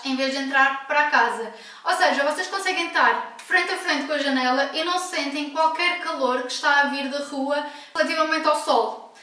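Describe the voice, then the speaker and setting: A woman's voice: sits high, bright, forceful tone. A woman from Portugal with a European Portuguese accent, talking frankly and emphatically in a casual home vlog, recorded at a distance in an echoey room at home. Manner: frank, emphatic, with self-corrections. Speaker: vlogger.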